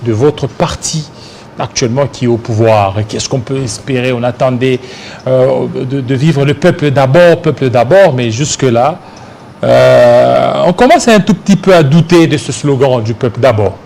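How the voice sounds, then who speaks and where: A male host with a Congolese French accent conducts a political interview. His questioning is probing and challenging, at a middling pitch. There is light noise and room ambience behind his voice. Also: moderate pace, creaky, a little mellow.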